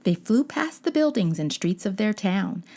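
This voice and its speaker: A woman's voice: medium pitch, big pitch swings, moderate pace, a little mellow. A woman reading a children's storybook aloud as host, with dramatic, playful emphasis. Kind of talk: expressive scripted storybook narration.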